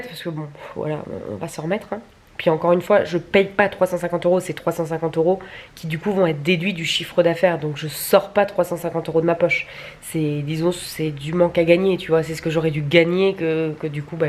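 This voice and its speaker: A young adult woman chatting casually to camera in a candid, crude, colloquial way, grumbling and poking fun at herself. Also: on the low side. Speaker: vlogger filming stories. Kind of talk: casual confessional chat to camera.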